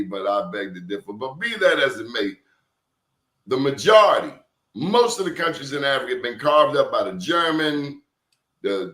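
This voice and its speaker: A man's voice: fairly high pitch, fairly bright tone, reverberant. A man hosting an interview show, telling stories in an emphatic, preachy way. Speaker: show host. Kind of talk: casual interview talk.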